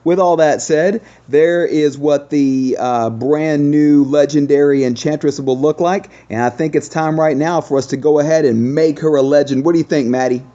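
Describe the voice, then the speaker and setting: A man's voice: a little high, fairly even intonation, normal speed, hardly any pauses, dark tone, lo-fi sound. A man doing casual, explanatory game commentary as the host of a gaming video.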